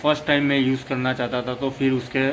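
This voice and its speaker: Man, casual product demo, flat delivery, rambling, faint ambience behind. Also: mid-range voice, fast, a little hiss or hum, rough recording. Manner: rambling, repeats "plus" between points. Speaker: motorcycle vlogger.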